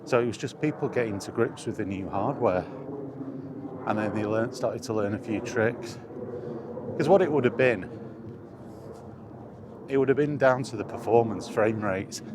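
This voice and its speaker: Low-voiced man chatting casually. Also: moderate pace, long pauses. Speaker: gaming vlogger. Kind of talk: casual rambling monologue about video games. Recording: clear background ambient noise.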